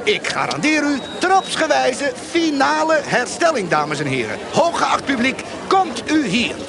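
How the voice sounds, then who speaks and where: An actor in a radio play as a grandiose, sly market quack, declaiming a sales pitch and repeating words for effect. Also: male voice, very animated, a little slow, noisy background, full character voice. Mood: grandiose, coaxing. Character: fast-patter market quack hawking miracle stones, grandiose and sly. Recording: busy outdoor crowd behind.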